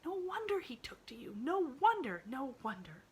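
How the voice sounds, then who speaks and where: A woman voicing an excitable young rural housemaid who speaks in a country dialect and gushes breathlessly. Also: a little high, moderate pace, voice pushed up, exaggerated voice. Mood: eager gossip.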